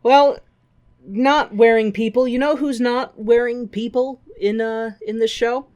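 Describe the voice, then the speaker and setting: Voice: medium pitch, steady tone, measured pace, a little mellow. A podcast co-host chatting casually and recapping a story in an irreverent, profane, jokey way with quoted lines thrown in.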